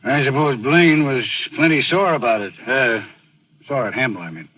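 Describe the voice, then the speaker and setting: An actor playing a probing, informal police detective in a 1940s radio thriller. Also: male voice, mid-range voice, normal speed, fairly bright tone, husky, no room sound, poor audio. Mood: probing, wary. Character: probing male police detective, suspicious and casual. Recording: old radio broadcast recording.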